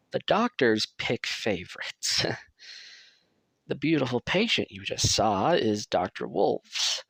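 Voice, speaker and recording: A man's voice: medium pitch, a bit bright, no echo. A man playing a chatty, sardonic asylum patient who rambles and swears casually while guiding a newcomer in an audio roleplay. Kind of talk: acted audio roleplay addressed to the listener.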